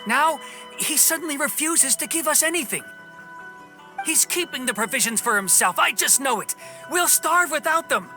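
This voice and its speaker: An indignant village woman with a fairly high voice, stern and accusing. She speaks emphatically in game cutscene style over clear background music. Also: expressive, normal speed. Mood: aggrieved. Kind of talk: video game cutscene acting.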